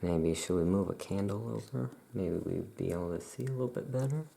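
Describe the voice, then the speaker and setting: A soft-spoken ASMR host in a calm, unhurried shopkeeper roleplay, leaving long pauses between phrases. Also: low-pitched, vocal fry. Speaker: ASMR host playing a Salem witch shopkeeper.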